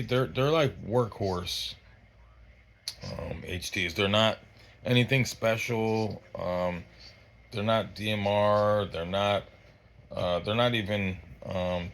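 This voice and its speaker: A man giving a casual, unscripted gear review in a halting, rambling way, often backtracking mid-thought. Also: low voice, fairly bright tone, a little hiss or hum. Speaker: host of a radio gear review channel.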